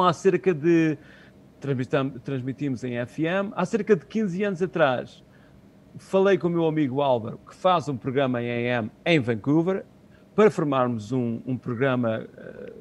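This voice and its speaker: A middle-aged man with a European Portuguese accent, answering interview questions in a halting, conversational way over a video call. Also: medium pitch. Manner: halting, searching for words, repeating phrases. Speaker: interview guest, community radio co-founder. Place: small room at home, on a video call. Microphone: earphone headset on video call.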